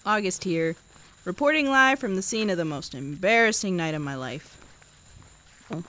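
A woman's voice: on the low side, expressive, normal speed, fairly bright tone, low-quality recording. A young woman playing an anxious, awkward seventeen-year-old girl in an audio drama, speaking in a hesitant, flustered way. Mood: embarrassed, frustrated.

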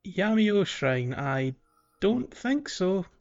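A man's voice: high-pitched, big pitch swings, drawn-out words, a little mellow, muffled recording, faint music behind. A man chatting casually as a podcast co-host about a video game, often chuckling as he talks. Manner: chuckles often while talking. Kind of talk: casual chat, video game playthrough commentary.

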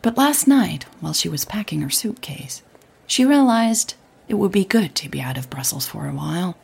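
A woman with a husky, breathy voice narrating a scripted audio drama story. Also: on the low side, animated, moderate pace, darkish tone.

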